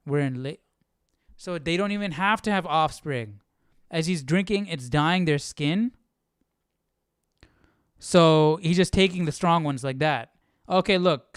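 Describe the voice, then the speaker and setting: Man, fairly high smooth voice, casual study host, informal, questioning, close dry sound. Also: no room sound. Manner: informal, questioning, thinking aloud. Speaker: host of live Bible study. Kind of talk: casual conversational commentary.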